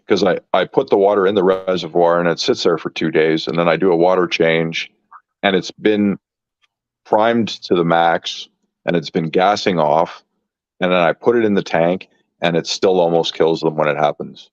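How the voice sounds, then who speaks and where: A man in his fifties with a very low voice, talking casually and haltingly about his fish tanks. He keeps restarting his sentences and hunting for words. The sound is dry and a little dull, like a modest lo-fi recording. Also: fairly even intonation, dry recording. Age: 50s. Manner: halting, repeats words, searches for words. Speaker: fish keeper. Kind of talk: casual chat.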